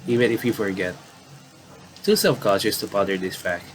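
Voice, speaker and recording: A man's voice: fairly low pitch, normal speed, faint music behind, rough recording. A man commentating on a game playthrough, casually reading story text aloud and often stumbling and rereading phrases.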